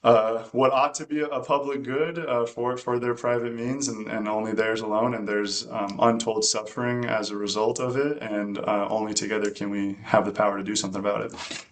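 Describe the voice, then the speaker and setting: A man's voice: lowish voice, little pitch movement, moderate pace. A man speaking on a webinar panel, hesitant with many fillers and restarts. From United States.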